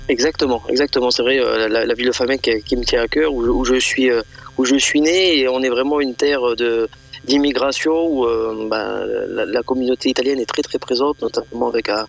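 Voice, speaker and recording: Guy from eastern france on the radio, kinda gravelly, lots of euh and stumbling restarts. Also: medium pitch, fairly even intonation, a little fast. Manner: hesitant, many fillers and repeated words. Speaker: event organizer, guest. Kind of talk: radio interview.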